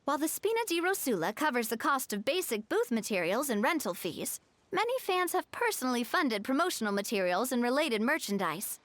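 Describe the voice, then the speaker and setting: Poised, lively young noblewoman, high-voiced. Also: a little fast, no echo. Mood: cheerful, proud. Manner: polished, articulate, upbeat explaining. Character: poised, cheerful young noblewoman running a theme park. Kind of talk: video game story dialogue acting. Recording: studio voice over game music.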